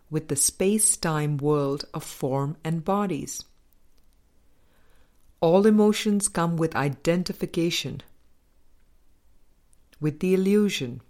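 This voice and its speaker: Slow, low-pitched woman reading aloud. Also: a little mellow. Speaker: audiobook reader. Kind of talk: scripted spiritual book reading.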